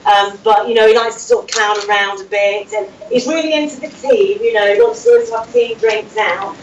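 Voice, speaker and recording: A woman playing a mild, hesitant safety assistant who fills pauses often. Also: medium pitch, highs cut off, close to an everyday voice. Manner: hesitant, many fillers, mild. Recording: roomy distant room with faint noise.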